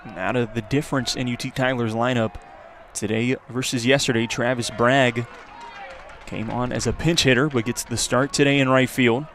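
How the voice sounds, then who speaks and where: A man's voice: medium pitch, fairly even intonation, normal speed, a bit bright. Male baseball announcer over outdoor ballpark noise. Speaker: play-by-play sports announcer. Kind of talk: live baseball radio commentary.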